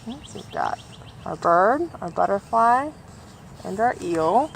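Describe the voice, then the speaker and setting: A woman's voice: medium pitch, lively intonation, takes it slowly, vocal fry, slight background noise. A woman reading a children's picture book aloud, explaining things directly to her listeners as she goes. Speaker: storybook reader for a nature organization.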